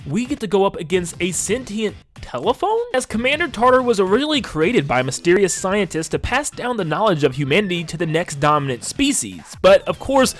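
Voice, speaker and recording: A man's voice: sits high, very animated, brisk, few pauses, a bit bright. A man giving casual, enthusiastic and emphatic video essay commentary as a conversational game reviewer. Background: music under the voice.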